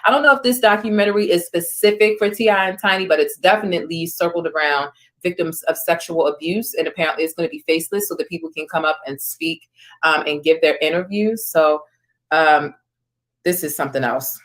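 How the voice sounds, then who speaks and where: A woman's voice: low-pitched, fairly quick, fairly bright tone. A woman hosting an online news stream, reading screenshots aloud and commenting in a conversational, informal way. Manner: conversational, informal, with asides and reactions.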